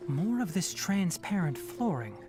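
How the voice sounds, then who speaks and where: An actor playing a composed, knowledgeable young male forest ranger in a video game, explaining things in a measured, matter-of-fact way. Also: medium pitch, sing-song, over music. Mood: composed, serious.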